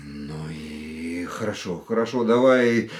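An actor playing an anxious, earnest man in love in a radio drama, with halting theatrical delivery. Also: deep voice, expressive, faint music behind. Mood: earnest, pleading. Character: man in love, anxious, pleading, self-doubting suitor. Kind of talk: radio play dialogue and inner monologue.

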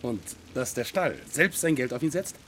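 An actor playing a smooth-talking gentleman stranger in a radio play, condescending and wheedling as he offers bribes. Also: mid-range voice, expressive, normal speed, slight background noise, close to an everyday voice. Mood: wheedling, sly. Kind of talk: German radio play acting.

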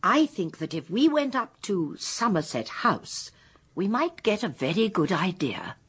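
An actress playing a shrewd, composed elderly English spinster detective in a radio drama, with a gentle, breathy voice. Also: female voice, mid-range voice, very animated, measured pace, full character voice. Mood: gentle, assured. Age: older.

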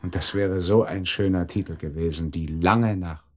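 An actor playing a loyal family friend in a radio drama, wry and soothing, with a low husky voice. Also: male voice, moderate pace, a little mellow. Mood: calming.

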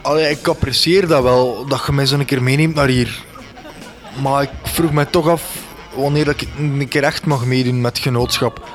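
A man's voice: mid-range voice, fairly even intonation, normal speed, soft background music, close to an everyday voice. A man with a Flemish accent playing a hesitant, folksy, complaining village innkeeper in a comic radio drama. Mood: aggrieved.

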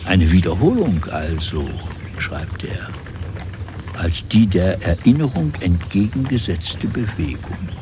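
A reflective, sombre narrator of literary memoirs. His voice is deep and moves expressively through its range, with deliberate dramatic pauses. Noticeable background noise runs behind the radio recording. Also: sing-song, exaggerated voice. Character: storyteller reciting memoirs, sombre, wry, reflective.

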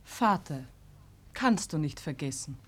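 A woman's voice: sits low, expressive, measured pace. A woman playing a young daughter who pleads tenderly in a radio play. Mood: pleading, tender.

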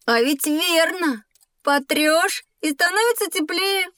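High-pitched, curious schoolboy. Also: female voice, slow pace, no room sound, exaggerated voice. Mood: puzzled. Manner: expressive, exclamatory, boyish. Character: curious, boastful schoolboy Petya, playful and impulsive. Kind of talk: scripted educational audio drama.

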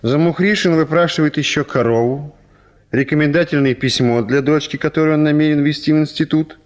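An actor narrating a literary radio play as an expressive, wry storyteller. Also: male voice, on the high side, brisk, lightly acted.

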